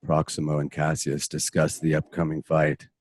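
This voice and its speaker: A man with a husky, gravelly voice reading scene directions as scripted narration. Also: a bass voice, completely flat delivery, moderate pace, no room sound.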